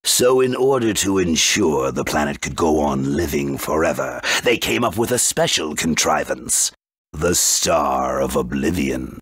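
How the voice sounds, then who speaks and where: A man playing a grandiose, menacing villain in a video game, bent on wiping out the world, with a gravelly, theatrical delivery. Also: a bass voice, animated, a little slow, a bit bright, no echo, clean studio sound, full character voice. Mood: ominous.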